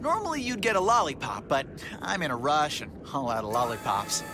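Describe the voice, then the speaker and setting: A man's voice: a little high, very animated, brisk. An actor playing a cocky young male superhero in a video game, with an American accent and a playful, teasing delivery. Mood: cocky, taunting. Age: young adult. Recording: loud music over the voice.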